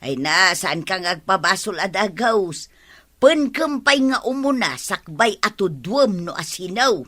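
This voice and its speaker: Sharp-voiced nagging wife. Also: female voice, mid-range voice, very animated, unhurried. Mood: worried, reproving. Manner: complaining, nagging. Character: exasperated wife scolding her vengeful farmer husband. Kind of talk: Ilocano comedy radio drama acting.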